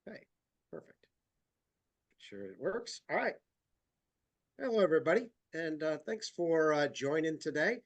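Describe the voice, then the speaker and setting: Stuffy nasal guy with a cold doing a webinar, kinda high voice, lots of ums. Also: very animated. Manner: halting, many fillers and restarts. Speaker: company president giving a presentation. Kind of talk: webinar presentation.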